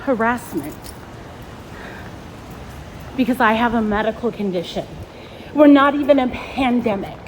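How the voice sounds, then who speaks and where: A woman with a loud voice, firmly and insistently arguing with hospital staff, recorded on a phone. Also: fairly high pitch, a little slow, long pauses, a little hiss or hum, low-quality recording. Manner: firm, insistent, argumentative. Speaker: patient's companion, filming a confrontation. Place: hospital unit and hallway. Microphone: handheld phone recording.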